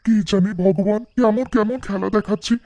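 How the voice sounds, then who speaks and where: A man's voice: sits high, steady tone, fairly quick, dark tone, no echo, muffled recording, voice pushed up. A man voicing a worried, complaining wife who laments fretfully in a cartoon folktale. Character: worried, complaining wife of a poor village seller. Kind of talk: animated folktale voice acting.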